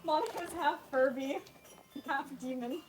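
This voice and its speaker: A woman's voice: high voice, unhurried. A young woman chatting casually and reading a visual novel aloud as host, in a playful, theatrical and jokey way. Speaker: host of a comic game read-through. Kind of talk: casual, jokey chat and mock voice acting over a visual novel. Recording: music behind the voice.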